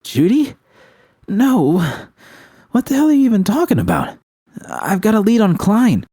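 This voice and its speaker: A man's voice: sits high, huge pitch swings, measured pace, warm tone, slightly rough, no room sound, studio quality, pitched above the performer's own voice, exaggerated voice. A man voicing a brash, impatient, loyal and foul-mouthed nomad woman in a story, blunt and urgent. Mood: urgent, exasperated.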